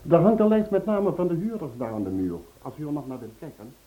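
An actor playing a polite, firm apartment concierge in an old Dutch radio play. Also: male voice, a little high, big pitch swings, quick pace. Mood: polite, certain. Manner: courteous, matter-of-fact. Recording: dull old radio recording.

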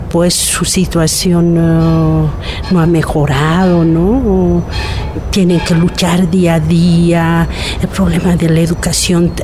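A woman with a Bolivian accent giving an earnest, reflective interview answer, often searching for words. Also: lowish voice. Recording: clear background noise, vehicle sounds.

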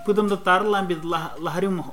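A man interpreting a sermon phrase by phrase, quick and hesitant, with fillers. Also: sits high, steady tone, a bit bright, slight background noise.

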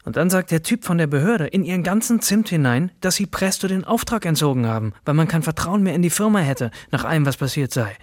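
A disgruntled colleague in a radio play, telling his side with casual sarcasm. The voice is husky and a bit high for a man, recorded dry. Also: expressive, fast talker, hardly any pauses, dry recording. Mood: sardonic. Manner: colloquial, sardonic. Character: sardonic, disgruntled male colleague recounting the disaster. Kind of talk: German radio drama monologue and dialogue.

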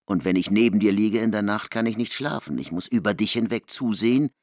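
A man working as narrator in a literary radio play, reading the words of troubled characters with a creaky voice. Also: fairly low pitch, brisk, no echo, clean studio sound, lightly acted. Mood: confessing, restless.

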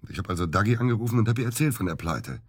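Radio drama evasive male doctor under suspicion: deep, husky, hesitant, very dry recording. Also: big pitch swings, dry recording. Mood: dejected, defensive. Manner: halting, hesitant, defensive. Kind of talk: naturalistic German radio drama acting.

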